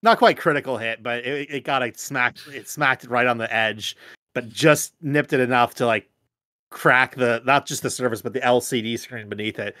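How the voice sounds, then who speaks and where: Young adult American male, casual storyteller, halting and sweary, dry close sound. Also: mid-range voice, pushed and bright, no echo. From United States. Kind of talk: casual group chat, anecdotes.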